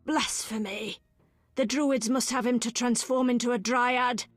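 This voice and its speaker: A woman's voice: fairly high pitch, fairly even intonation, a little slow, no room sound, soft background music. An actress voicing an anxious village woman who urgently pleads for help finding a missing child in a video game. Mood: pleading.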